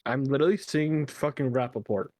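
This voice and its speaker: A man's voice: mid-range voice, brisk, darkish tone, no echo. A man with a Chicago accent co-hosting a casual podcast, playful and laughing often. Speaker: podcast co-host. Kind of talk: casual chat.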